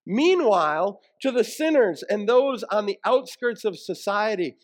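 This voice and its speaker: A man's voice: sits high, lively intonation, measured pace. A man preaching a sermon as a pastor, explaining things in a plain-spoken, conversational way.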